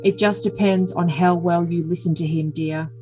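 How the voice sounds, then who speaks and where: A woman's voice: on the low side, fairly even intonation, moderate pace, dark tone, natural voice. A woman voicing a doting, controlling, self-important boyfriend in an overly dramatic reading of messages. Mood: commanding.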